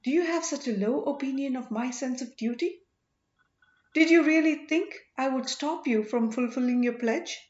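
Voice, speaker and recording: Proud high-voiced young princess read by a woman. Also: moderate pace, a little mellow, lightly acted. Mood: indignant. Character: proud, strong-willed young princess, indignant and devoted. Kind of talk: audiobook character dialogue. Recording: roomy, slightly dull home recording.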